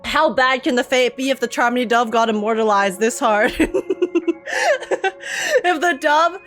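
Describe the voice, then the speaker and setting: A woman streamer reacting live to a game in an excitable, dramatic, giggly and exclaiming way. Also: on the high side, normal speed, faint music behind.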